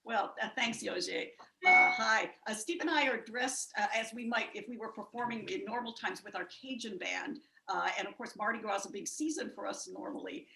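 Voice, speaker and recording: A woman's voice: mid-range voice, moderate pace, gravelly, sharp tone, roomy sound, soft background music, low-quality recording. A woman musician from the United States chatting casually about her background and her band, with frequent fillers, over a video call. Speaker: musician guest. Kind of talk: casual spoken introduction.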